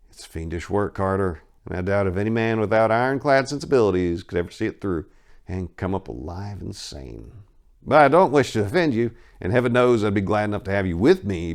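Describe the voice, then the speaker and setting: A man voicing a calm, patronizing male explorer of the occult in a dramatic horror story reading. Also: low-pitched, gravelly, full character voice. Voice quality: mellow. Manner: brisk, unperturbed, patronizing.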